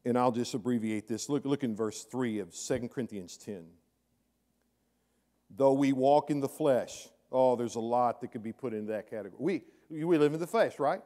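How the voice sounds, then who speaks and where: A middle-aged man preaching a sermon from a church stage, chatty and then suddenly loud, often repeating his words. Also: mid-range voice, normal speed. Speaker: pastor. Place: large church sanctuary with stage, indoors.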